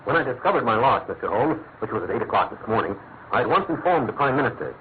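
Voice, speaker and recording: On a thin, phone-like radio recording, an actor plays an anxious British government minister. His formal, earnest speech runs fast and swings expressively in pitch, in classic radio play manner. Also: sing-song, natural voice. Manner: rapid, earnest, formal, emphatic. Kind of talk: classic radio drama acting. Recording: thin narrow-band old radio recording, faint noise.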